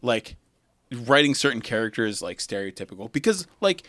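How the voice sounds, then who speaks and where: A man hosting a podcast, giving his opinions in measured, casual group chat. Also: medium pitch, sing-song, a bit bright, no echo. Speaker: podcast host steering the discussion.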